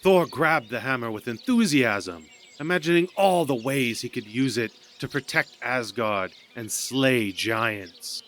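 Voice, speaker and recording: A man's voice: medium pitch, expressive, unhurried, hardly any pauses, a bit bright. A man narrating a scripted story in a dramatic storytelling style. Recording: amateur recording with background noise.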